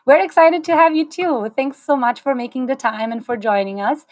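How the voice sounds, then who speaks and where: A woman's voice: high voice, moderate pace, no echo. A woman in her thirties hosting an online series on a video call, upbeat and chatty with small restarts. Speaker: host. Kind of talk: livestream webinar hosting. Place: small indoor room, plain wall.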